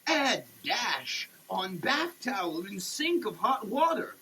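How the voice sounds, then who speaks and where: High-pitched theatrical man declaiming slowly. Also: sing-song, bright, forceful tone, slightly rough, rough recording. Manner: theatrical, preachy, emphatic pauses. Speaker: sketch performer. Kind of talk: dramatic reading of a soap label, declaimed like a sermon. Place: shower, per the page.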